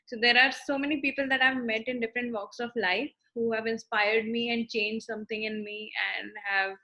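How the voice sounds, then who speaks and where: A woman opens her interview with polite, slightly hesitant introductions. Her voice is high and very bright. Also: fairly even intonation, fairly quick, poor audio. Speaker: interviewer, series host.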